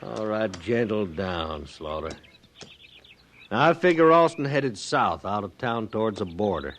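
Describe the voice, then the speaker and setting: A man's voice: fairly low pitch, very animated, measured pace, a bit bright, exaggerated voice. An actor playing a self-assured, conciliatory American frontier lawman in an old western radio drama, with a folksy, persuasive manner. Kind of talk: 1950s western radio acting. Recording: noisy old radio recording.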